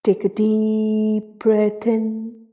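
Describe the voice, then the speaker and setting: A woman leads a guided meditation in a relaxed, mellow voice of medium pitch. Her delivery is extremely slow and deliberate. She speaks in short, soothing phrases with long pauses between them. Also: faint music behind.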